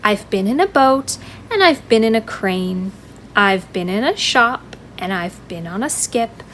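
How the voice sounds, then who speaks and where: A woman's voice: medium pitch, expressive, measured pace, a bit bright, a little hiss or hum. A woman voicing a contented, well-travelled teddy bear in a storybook, reciting his adventures in rhythmic rhyme. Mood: proud.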